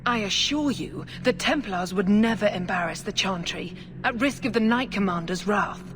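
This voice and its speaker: An actress playing a self-righteous, guarded priestess in a video game, formal, deliberate and condescending. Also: female voice, mid-range voice, noisy background, close to an everyday voice. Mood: cool condescension. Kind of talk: video game cutscene acting.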